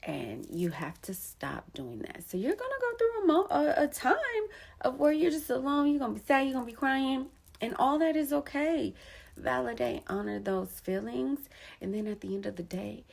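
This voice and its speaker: A woman in her thirties with a husky voice, giving earnest, encouraging advice from her own experience in a casual personal talk. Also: lowish voice, darkish tone. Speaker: vlogger sharing her story.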